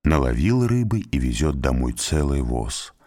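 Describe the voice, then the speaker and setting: A man reading a folk tale aloud for small children in an expressive, animated way. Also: rumbling low, normal speed, soft background music. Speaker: storyteller.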